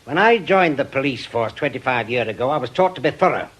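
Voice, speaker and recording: Husky, obsessive British ex-policeman. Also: medium pitch, animated, quick pace, a bit bright, poor audio. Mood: combative, scornful. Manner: brusque, scornful, insistent. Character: obsessive, cantankerous veteran ex-policeman and bereaved husband. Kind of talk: radio drama acting.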